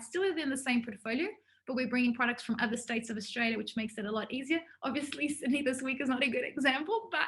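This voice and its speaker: A woman's voice: on the high side, a bit bright. A woman giving an informal business workshop to students, talking in a conversational, enthusiastic way.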